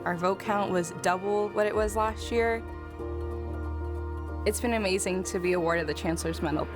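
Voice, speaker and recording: Young woman, twenties, earnest casual interview, mid-pitched, music bed. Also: moderate pace. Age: 20s. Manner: earnest, casual, with light laughs. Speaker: graduating student interviewed for video. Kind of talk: reflective interview talk. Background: background music.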